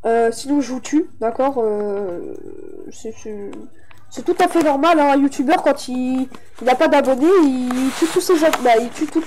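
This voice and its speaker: A woman's voice: high voice, normal speed, darkish tone, low-quality recording. A young woman with a stuffy head cold, rambling through a casual showcase of a game map for her channel, with many fillers and restarts. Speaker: gaming YouTuber.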